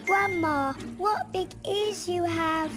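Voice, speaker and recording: A sweet little girl from a storybook cartoon, in a very high voice. She talks slowly and with innocent wonder, over soft music. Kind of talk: children's cartoon storybook acting.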